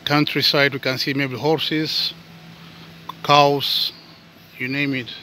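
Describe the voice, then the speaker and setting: A man, not a native English speaker, talking casually to his viewers while walking outdoors and filming with his phone, often calling them guys and dropping to soft asides. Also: medium pitch, level tone, slow, slight background noise. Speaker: vlogger. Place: outdoors on a suburban footpath.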